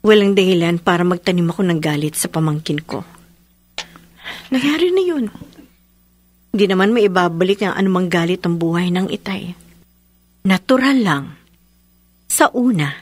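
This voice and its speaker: A calm, understanding aunt in a radio horror drama. Her voice is husky and soft, and the sound is close and dry. She speaks in a measured, reassuring way. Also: on the low side, no echo.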